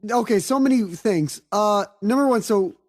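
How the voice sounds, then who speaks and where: A man's voice: high-pitched, animated, normal speed, a little mellow. A man co-hosting a casual movie review podcast, joking and swearing freely as he talks. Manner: profane, jokey, breaks into mock accents. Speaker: podcast host.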